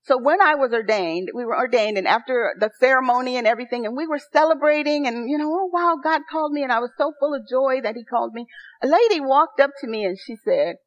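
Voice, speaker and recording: A woman pastor with a soft, somewhat high voice preaches to her congregation. She speaks earnestly and lifts into excited exhortation. The sound is dry and a little dull, like a lo-fi recording. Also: fast talker, no echo. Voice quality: soft-spoken. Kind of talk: sermon.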